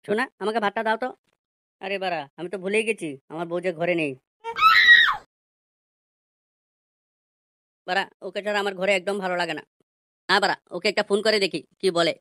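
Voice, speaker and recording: A woman voicing a crude, bullying, hard-drinking village husband in an amateur comedy cartoon, with a coarse blustering manner and artificially raised pitch. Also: rapid-fire, fairly bright tone, no room sound, studio quality, close to an everyday voice. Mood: lonely, coaxing.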